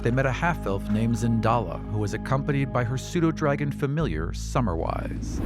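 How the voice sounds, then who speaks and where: A man serving as game master for a tabletop adventure, narrating jungle scenes in an easy, conversational way. Music plays clearly behind his voice. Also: mid-range voice. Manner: relaxed descriptive narration with casual asides.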